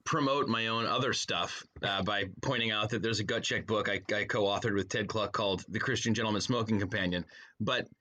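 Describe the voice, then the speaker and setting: A man's voice: fairly low pitch, brisk, sharp tone. An American man chatting casually as a podcast guest, with a wry, joking manner. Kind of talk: casual chat.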